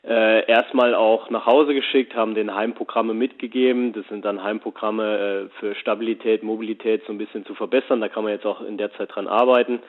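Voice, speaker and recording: A man's voice: fairly low pitch, fairly even intonation, brisk, hardly any pauses. A man, a sports fitness coach, speaking as a phone guest in a casual interview and explaining at length with many fillers. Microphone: telephone. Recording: tinny phone line.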